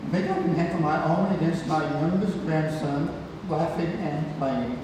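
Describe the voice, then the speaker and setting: A man's voice: on the high side, normal speed, lightly acted. Echoey, distant actor as a feisty old grandfather. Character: playful old grandfather, frail but feisty patient. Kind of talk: play table read. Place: large indoor theatre room, far microphone. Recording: distant, echoey hall, noisy room recording.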